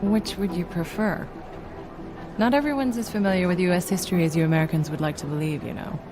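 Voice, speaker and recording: Woman acting a composed, low-pitched agency doctor. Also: natural voice. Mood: dry, probing. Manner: measured, straight-talking, dry. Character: composed, probing female agency doctor evaluating an agent. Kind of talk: video game cutscene acting. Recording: music behind.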